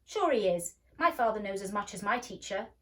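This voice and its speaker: A woman's voice: mid-range voice, expressive, brisk, fairly bright tone, distant mic, close to an everyday voice. A woman voicing a superior, scornful young boy in a storybook, with an emphatic, condescending manner. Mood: insistent.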